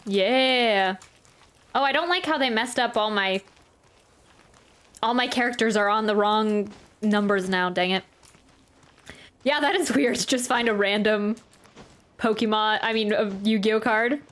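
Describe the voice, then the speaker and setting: A woman's voice: on the high side, unhurried, long pauses, a bit bright. A woman giving casual gameplay commentary, chatty and rambling, often interrupting herself mid-sentence. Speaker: streamer reacting to a video game.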